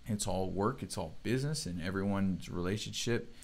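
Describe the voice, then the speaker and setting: A man's voice: sits low, moderate pace, creaky. A young man hosting a casual livestream chat, talking in a laid-back, rambling way and laughing often. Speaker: show host.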